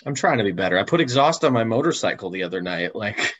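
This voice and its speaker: A man's voice: on the low side, very animated, quick pace, a bit bright. A man chatting casually as an interview guest, with a wry, jokingly stubborn manner, over slightly dull video-call audio. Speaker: interview guest telling car stories. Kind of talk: casual chat.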